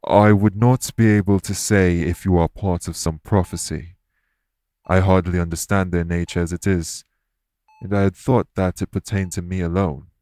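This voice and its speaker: A man playing a solemn, guilt-ridden fae in an audio roleplay, speaking formally and earnestly in measured, deliberate phrases. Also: low voice, steady tone, a little mellow, no room sound, close to an everyday voice. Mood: grave, explanatory. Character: solemn, guilt-ridden male fae explaining magic to a patient. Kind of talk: audio roleplay acting, addressed to listener.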